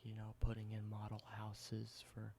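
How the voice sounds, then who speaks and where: Man, soft close whisper, low and monotone, patient and simple explanations, hissy recording. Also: creaky. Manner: softly whispering, explaining everyday objects slowly and simply. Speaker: ASMR creator. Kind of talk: whispered ASMR roleplay.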